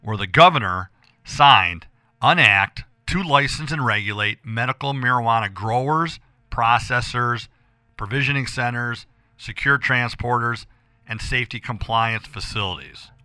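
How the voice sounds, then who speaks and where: An American man hosting a casual radio show with a hoarse voice, talking in a rambling, emphatic way and repeating himself as he addresses listeners. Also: mid-range voice, a little slow, sharp tone, no room sound. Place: radio studio.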